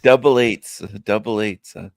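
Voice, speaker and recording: Older man with a strong, low voice. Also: unhurried, no echo. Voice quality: strong voice that sounds younger. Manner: rambling, joking, laughs often. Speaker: livestream host. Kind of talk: casual livestream chat. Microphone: webcam setup, camera off.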